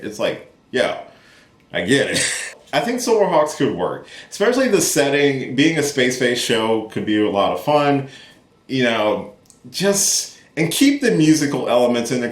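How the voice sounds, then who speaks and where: Animated man rambling in an echoey room. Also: fairly low pitch. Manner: emphatic and enthusiastic, informal with mild swearing. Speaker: host of a geek commentary channel. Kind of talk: casual unscripted rambling commentary.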